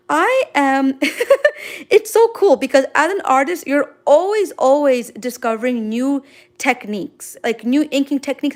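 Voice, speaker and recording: New girl, real high voice, super gushy, just chatting w her viewers on a livestream. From Queens, New York City, United States. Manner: gushing, effusive, sprinkled with exclamations. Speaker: manga artist hosting a drawing livestream. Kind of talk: casual livestream chat, answering viewer comments.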